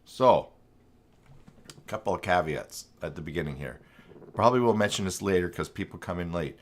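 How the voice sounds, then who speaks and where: Low-pitched man, croaky voice, occasional cough, casual rambling stream host, chatting to viewers from a home office. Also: moderate pace, a little hiss or hum. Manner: rambling and self-deprecating, with frequent restarts. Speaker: host of a web design live stream. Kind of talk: casual live-stream chat.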